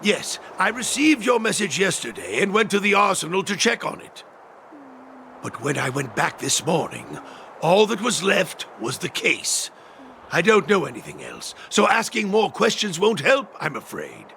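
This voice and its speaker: A fussy, self-important craftsman in a game. His high voice leaps about wildly, with a bright edge and a creaky rasp. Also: huge pitch swings, moderate pace, a little hiss or hum, exaggerated voice. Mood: resigned. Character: fussy, defensive male master artisan. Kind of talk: video game dialogue acting.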